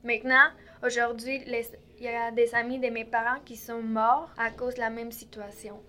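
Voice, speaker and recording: Young woman, in her french, kinda high voice, pretty monotone, lots of euh and restarts. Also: moderate pace. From Mexico. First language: Spanish. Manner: hesitant, many restarts and fillers, soft laughs. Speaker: interviewee. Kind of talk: casual personal account.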